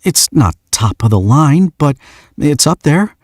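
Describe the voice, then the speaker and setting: A man's voice: on the high side, big pitch swings, measured pace, darkish tone, no room sound, studio quality, voice pushed up. A man voicing a nervous, shy young man who stammers and restarts his sentences.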